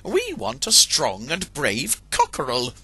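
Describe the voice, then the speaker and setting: A man voicing a gentle, loving female hen who speaks to her husband in a raised, soothing voice. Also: huge pitch swings, slow, husky, exaggerated voice. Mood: chiding. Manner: soothing, high-pitched.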